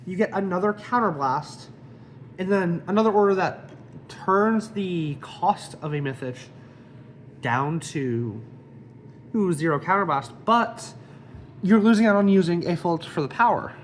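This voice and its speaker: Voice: medium pitch, expressive, measured pace, slight background noise. A card game deck reviewer with a slight cold, casually explaining card effects one by one.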